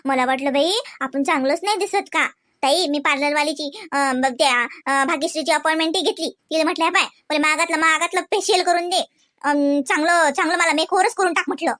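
A woman voicing an insecure, excitable young wife in a comedy cartoon, chatty and complaining, with a Varhadi Marathi accent. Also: high-pitched, a little fast. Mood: hopeful, excited.

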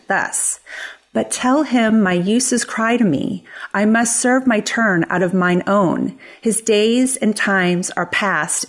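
Woman playing an imperious, indignant Shakespearean senator. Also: on the low side. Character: impatient, indignant senator demanding repayment. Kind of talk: unrehearsed reading of a Shakespeare play.

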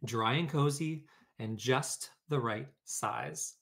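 A man's voice: medium pitch, slow pace, fairly bright tone. A man hosting a read-aloud for children, talking informally and explaining things, or reading a storybook. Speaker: educator, read-aloud host.

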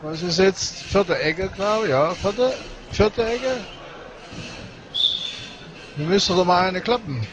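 A man with a regional German dialect giving relaxed, chatty live commentary on a match, with many fillers and short exclamations. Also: sits high, takes it slowly, pauses often, muffled recording. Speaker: sports commentator. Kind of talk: casual live commentary on a cycle-ball match. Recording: noisy sports hall with crowd ambience.

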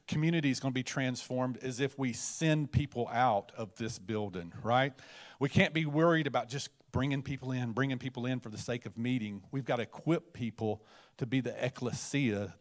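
A pastor stands on his church stage with a handheld mic. He tells a long testimony in an earnest, storytelling way, with plenty of fillers. His voice sits at an ordinary middle pitch. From Alabama, USA. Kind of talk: testimony and introduction.

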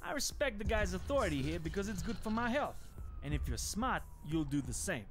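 An actor playing a cynical, streetwise vampire gangster in a video game, talking in tough, confiding slang. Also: male voice, high voice, big pitch swings, fairly quick, slight background noise. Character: streetwise cynical male vampire gangster, wary, foul-mouthed. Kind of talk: scripted video game dialogue. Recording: game music behind the voice.

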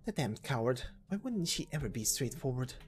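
A woman's voice: extremely low pitch, sing-song, fairly quick, darkish tone, soft background music. A woman voicing a prickly, sardonic young female mercenary, evasive and defiant, in amateur game story acting. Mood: irritated, dismissive.

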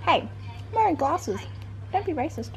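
A woman's voice: sits high, very animated, normal speed, creaky, a little mellow, faint music behind, rough recording. A young woman vlogging with a friend late at night in a library, silly and theatrical in a casual chat. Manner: silly, theatrical, dramatic outbursts. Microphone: handheld camera close up.

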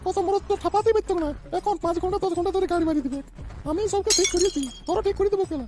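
A performer voicing a brash, bossy old village grandmother in an amateur comedy cartoon, with a pitched-up voice. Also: rapid-fire. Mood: boastful. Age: older. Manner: crude, boastful, insistent. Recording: faint background noise.

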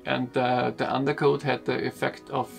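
A young man, a sailing vlogger, explaining a boat project to camera in a slow, halting way, repeating words, seated in a wooden boat cabin. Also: mid-range voice, little pitch movement, vocal fry, faint music behind.

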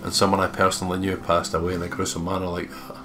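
A man's voice: low-pitched, completely flat delivery, fast talker. A man reading visual novel narration as a let's play host, with casual, jokey asides. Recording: music playing behind the voice.

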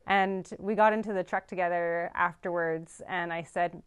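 A woman's voice: on the low side, steady tone, moderate pace, clear, resonant tone. A young woman farm veterinarian answering interview questions thoughtfully, with frequent ums and restarts, seated in a studio. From Canada. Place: studio with dark backdrop.

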